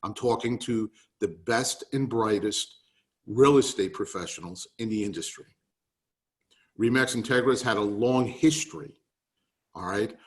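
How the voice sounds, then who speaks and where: A man with a New York accent giving an emphatic, rallying business talk on a video call. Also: on the low side. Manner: emphatic, rallying, repeats key points. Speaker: webinar presenter.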